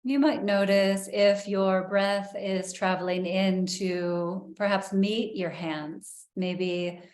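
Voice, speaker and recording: Slow, soothing woman guiding meditation. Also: mid-range voice, fairly even intonation, reverberant. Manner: soft, slow and soothing, with long pauses. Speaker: meditation guide. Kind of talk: guided meditation.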